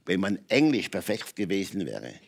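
An older man with a Swiss German accent, telling personal stories as a talk show guest in emphatic, halting phrases with repetitions. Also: low-pitched, wildly swooping pitch, vocal fry. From Switzerland. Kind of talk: candid personal storytelling in conversation. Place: TV talk show studio with audience.

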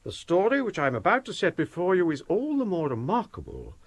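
An actor with an English accent playing a courteous, scholarly older provost in a period radio drama, formal and donnish. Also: male voice, high voice, wildly swooping pitch, fairly quick, slightly rough, full character voice. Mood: storytelling. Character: courteous, scholarly older Cambridge provost and ghost-story teller.

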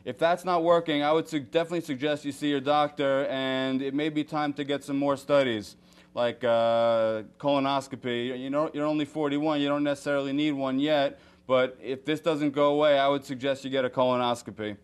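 A man in his thirties, a surgeon, giving calm and measured medical advice to a caller on a TV studio panel. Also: medium pitch, clean tone. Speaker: guest doctor, surgeon. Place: TV studio set.

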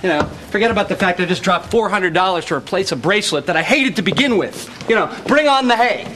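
A young American wisecracker in a TV sitcom. His voice sits high and swings freely up and down. The studio outtake sound is heavily noisy. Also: animated, quick pace. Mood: mock upbeat. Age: young adult. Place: indoor studio sitcom set.

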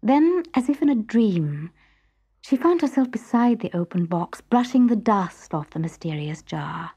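A woman reading a scripted children's story in an expressive, deliberate manner, hushed at times. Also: medium pitch, breathy edge. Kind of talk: scripted dramatic story narration.

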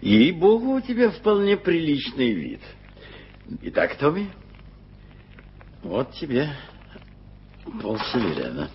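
An older actor playing a soft-hearted, flustered old journalist in a radio play, stammering good-naturedly. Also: male voice, fairly high pitch, sing-song, slow, pauses often, a little hiss or hum, full character voice. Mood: pleased. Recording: dull lo-fi radio play recording.

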